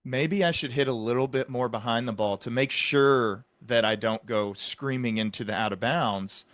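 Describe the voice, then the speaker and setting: A man's voice: mid-range voice, moderate pace, a bit bright, no room sound. A man speaking as an interview host, retelling an example in conversation.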